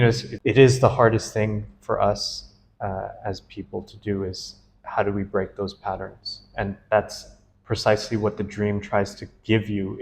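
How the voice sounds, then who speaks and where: A man's voice: fairly low pitch, darkish tone, slight background noise. A man, a dream analyst, interviewing a client in an earnest, enthusiastic and easily laughing way. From United States. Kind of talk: casual interview.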